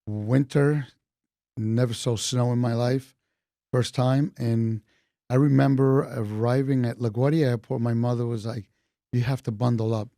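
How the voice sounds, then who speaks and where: Mellow New York-accented man in his fifties. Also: mid-range voice, a little slow, dry recording, studio quality. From Colombia. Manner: relaxed, chatty, laughs between points. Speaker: retired police officer, interview guest. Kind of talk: casual storytelling in an interview.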